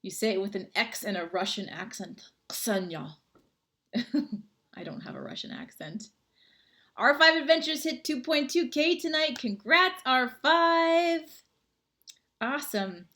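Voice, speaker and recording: A woman's voice: fairly high pitch, lively intonation, unhurried, bright, forceful tone. A woman, chatting casually with viewers on a livestream from home and reading out their comments. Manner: chatty, friendly, rambling. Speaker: livestream host. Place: home, indoors.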